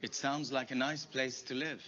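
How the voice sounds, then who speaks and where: A woman's voice: a bass voice, steady tone, normal speed, a bit bright. An actress playing a friendly young woman who welcomes a friend with homemade sweets in a learning skit. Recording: muffled lo-fi video audio.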